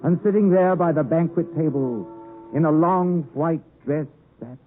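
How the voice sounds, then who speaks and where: An actor playing an earnest, passionate young English gentleman who narrates his story in a theatrical 1950s radio drama style. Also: on the high side, a little slow, lightly acted. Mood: haunted, reflective. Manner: theatrical, emotive. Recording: vintage 1950s radio, tinny, music behind.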